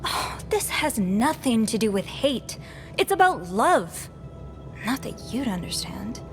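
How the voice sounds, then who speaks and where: Higher-voiced earnest maid, music behind. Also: female voice, very animated, unhurried. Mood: defiant. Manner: polite but standing her ground. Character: earnest, defiant young hotel maid under questioning. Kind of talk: dramatic video game cutscene acting.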